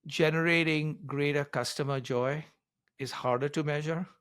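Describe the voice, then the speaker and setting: A man's voice: a little high, steady tone, normal speed, a bit bright, no echo, clean studio sound. An older man giving conversational, explanatory interview answers, laying out his points emphatically one by one. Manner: emphatic, explains in numbered points with acted-out quotes. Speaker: business author and advisor being interviewed.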